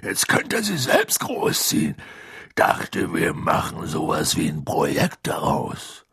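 A man voicing a gruff, proud giant gamekeeper who talks in halting, plain speech. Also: rumbling low, huge pitch swings, fairly bright tone, no echo, clean studio sound, voice pushed down, full character voice.

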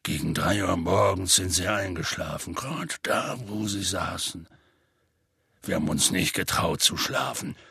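A man voicing a huge, gruff, good-natured male half-giant who tells a rambling, folksy story in a deep gravelly rumble. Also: unhurried, pushed and bright, no room sound, clean studio sound, exaggerated voice. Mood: telling tale. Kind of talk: audiobook character voice.

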